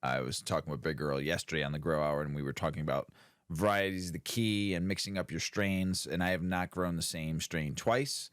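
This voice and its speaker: A man's voice: rumbling low, a little fast, a bit bright, clear, resonant tone, no echo, studio quality. A man co-hosting a casual podcast, talking in a laid-back, rambling way and laughing often. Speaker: podcast co-host. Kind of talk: casual stoner podcast chat.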